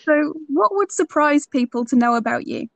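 A woman's voice: sits high, lively intonation, moderate pace, creaky, fairly bright tone, no room sound, dull audio. A woman interviewing a new model in a friendly, encouraging way. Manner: upbeat, encouraging. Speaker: magazine interviewer.

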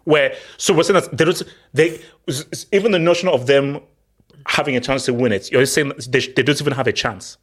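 A man from Nigeria hosting a casual football podcast, animated and playful, often repeating words. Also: mid-range voice, fairly quick.

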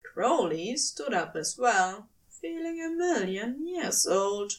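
A woman reading fiction aloud as a narrator, giving an expressive scripted performance. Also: fairly high pitch, slow pace, far from the mic. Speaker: podfic reader and narrator.

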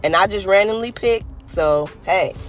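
A podcast host from California, a little hoarse and raspy today, giving an earnest, rambling motivational monologue full of "you know" fillers. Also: medium pitch, moderate pace, faint music behind.

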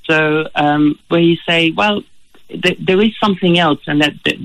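A man's voice: fairly high pitch, normal speed, gravelly, a bit bright, low-quality recording. A man from Germany with a German accent, a guest in an interview, answering earnestly and often repeating words as he thinks. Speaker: guest, ultra runner.

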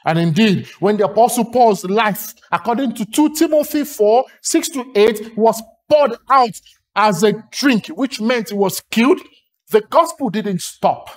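A man's voice: high voice, a little slow. A man from Lagos with a Nigerian accent, preaching a sermon with emphatic, rhythmic repetition and long lists.

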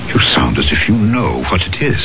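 A man's voice: deep voice, very animated, moderate pace, background noise. An actor playing an earnest, apologetic police magistrate in a 1970s radio drama, formal and polite in his phrasing. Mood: uneasy, shaken. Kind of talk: 1970s radio drama acting and first-person narration. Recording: muffled 1970s radio recording, loud music.

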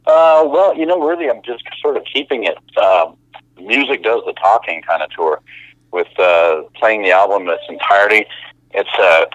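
A man in his fifties talking casually as a guest, relaxed and halting, with many fillers and easy laughs. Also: fairly low pitch, no room sound. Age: 50s. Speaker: musician guest. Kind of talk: casual interview over the phone. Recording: muffled phone line.

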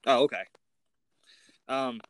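A man's voice: medium pitch, slow, no room sound. A man hosting a casual interview, informal and a little self-deprecating in the way he talks. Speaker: interview host.

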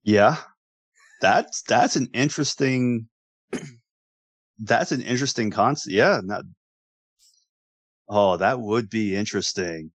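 An American man with a low voice chats casually as a sports podcast co-host. He comes across as agreeable, feeling his way through sentences and repeating words. The recording is dry, without room sound. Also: slow pace, long pauses, dry recording. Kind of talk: casual chat.

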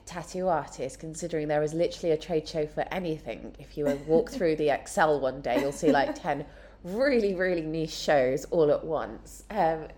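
A woman's voice: sits low, moderate pace, few pauses, darkish tone. A woman interviewing a guest in person, upbeat and encouraging with her questions. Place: indoor room, in person.

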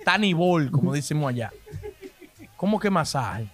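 A man's voice: a little high, big pitch swings, normal speed, music in the background. A man co-hosting a joking casual chat, teasing and exclaiming often. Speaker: podcast co-host.

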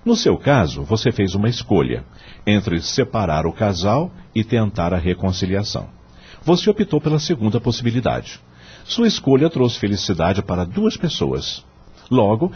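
An actor playing a wise, kindly male law professor in a radio drama, with a Brazilian accent and a husky voice, measured and moralizing. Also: on the low side, muffled recording, full character voice. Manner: didactic, measured, moralizing, rhetorical questions.

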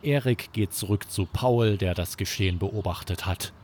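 A man narrating a scripted children's bedtime story as podcast host, with expressive, dramatic storytelling. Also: on the low side, normal speed, noisy background.

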